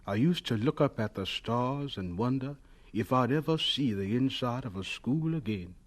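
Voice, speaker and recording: An actor playing a humble elderly professor looking back on his life in a radio drama, gentle and wistful. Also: mid-range voice, animated, normal speed, close to an everyday voice.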